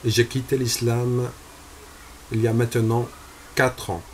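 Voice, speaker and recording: Homme, 20s, from Algeria, unhurried testimony, hesitant, measured. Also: male voice, mid-range voice, flat delivery, slight background noise. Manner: slow and deliberate, with frequent hesitations. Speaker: convert giving a testimony. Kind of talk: personal religious testimony.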